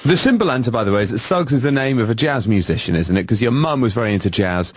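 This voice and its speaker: A man's voice: mid-range voice, animated, fast talker, husky, dry recording. A man interviewing a guest on the radio, asking probing questions. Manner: probing questions, brief backchannels.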